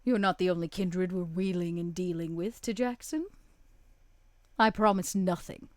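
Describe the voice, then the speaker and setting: A woman playing a poised, scheming female vampire power broker in a tabletop game, with theatrical, deliberate, flowery delivery. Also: on the low side, fairly even intonation, darkish tone, dry recording, natural voice. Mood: measured bargaining. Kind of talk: tabletop roleplay in character.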